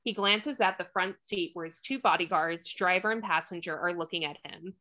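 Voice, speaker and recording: A woman's voice: on the low side, moderate pace, sharp tone, highs cut off. A woman narrating the stage directions of a screenplay in a scripted read. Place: remote online table read.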